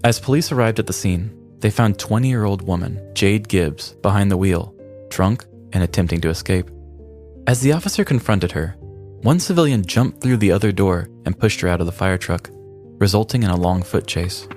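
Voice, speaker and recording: A man's voice: deep voice, animated, moderate pace, darkish tone, soft background music. A man co-hosting a podcast, narrating a scripted comedic true-crime story. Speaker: podcast co-host.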